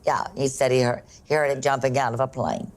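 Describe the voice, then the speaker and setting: An older woman giving a halting, rambling personal recollection as a documentary interviewee. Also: very deep voice, steady tone. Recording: documentary clip with music underneath.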